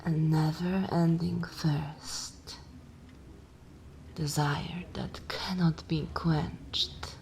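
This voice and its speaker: Slow, haughty ancient vampire mistress, acted by a woman. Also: low-pitched, fairly even intonation, gravelly, darkish tone, full character voice. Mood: scornful. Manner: languid, theatrical, disdainful, lapsing into whispers. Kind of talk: ASMR dark fantasy roleplay.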